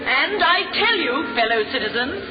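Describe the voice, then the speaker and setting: An actress playing an indignant civic crusader, declaiming a rousing public speech in old-time radio style. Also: female voice, sits high, very animated, moderate pace. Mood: righteous, indignant. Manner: declamatory, projected. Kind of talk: 1940s radio acting, public oratory. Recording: muffled echoey old radio, music, crowd.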